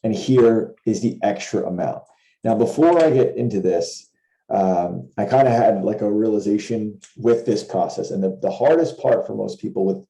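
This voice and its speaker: A man's voice: on the low side, mellow, reverberant, poor audio. A man giving an informal explanation on a video call, talking through worked examples and rephrasing points as he goes. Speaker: trading educator and co-host of a Q&A. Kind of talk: informal explainer talk over a shared screen. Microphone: video call with screen share.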